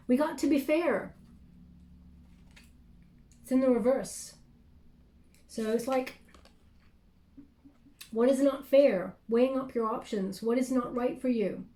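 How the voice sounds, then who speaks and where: A woman's voice: sits high, slow pace, pauses often, dark tone, reverberant. A woman giving a chatty tarot card reading at a table indoors, her voice scratchy from illness, often adding "okay?". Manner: chatty, reassuring, tag questions like okay. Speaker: tarot reader.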